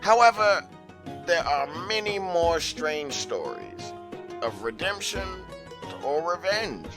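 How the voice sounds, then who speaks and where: A man's voice: fairly high pitch, very animated, slow pace, music in the background, exaggerated voice. An actor voicing Alabaster, a male tavern host narrating with a theatrical flair. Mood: storytelling. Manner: theatrical storytelling.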